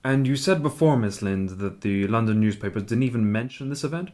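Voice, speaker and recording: A man's voice: mid-range voice, fairly quick, darkish tone, lightly acted. An actor playing a courteous American man, asking questions politely and formally in a radio play. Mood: courteous, inquiring. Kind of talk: scripted radio play dialogue.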